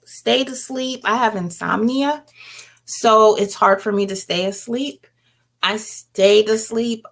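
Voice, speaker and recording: Casting: middle-aged woman, casual livestream host, slightly unhurried pace, gravelly fry in the voice, informal and chatty. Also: mid-range voice, fairly bright tone. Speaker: host of a home herbalism livestream. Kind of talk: casual livestream chat, reading and answering comments.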